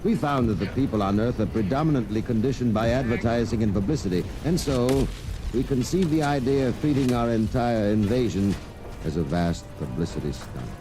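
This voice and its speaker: An actor playing a suave, cold-blooded Martian invader in a 1950s radio drama, speaking with smug, polite, measured menace. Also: male voice, mid-range voice, lively intonation, over music, natural voice. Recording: noisy old radio show recording.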